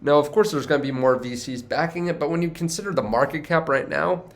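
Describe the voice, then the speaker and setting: A man's voice: fairly high pitch, quick pace. Congested young man talking finance. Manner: rambling, self-correcting, upbeat. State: congested from a cold. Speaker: finance video host. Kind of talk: casual talk to camera.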